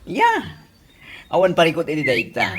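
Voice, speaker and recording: A woman's voice: low-pitched, huge pitch swings, moderate pace, noisy background. A woman playing an eager, gushing female friend in a radio comedy, with an Ilocano accent. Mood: excited. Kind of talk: comedy radio drama acting.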